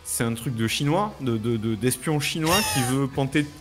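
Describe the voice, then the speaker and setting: A man's voice: mid-range voice, normal speed, music in the background. A man co-hosting a casual live show, informal and a little hesitant, often repeating words. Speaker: show co-host.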